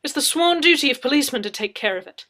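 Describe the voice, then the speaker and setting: A woman's voice: high-pitched, animated, quick pace, pushed and bright, voice pushed up. A woman voicing an impetuous, self-centred young Englishman in an audiobook, protesting with dramatic emphasis. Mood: indignant, protesting. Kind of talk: dramatic audiobook character reading.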